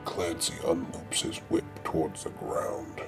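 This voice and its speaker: A man with a gravelly voice narrating a comedy script in a deadpan, slangy, mock-dramatic way. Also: a little slow. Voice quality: very deep, gravelly. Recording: music behind the voice.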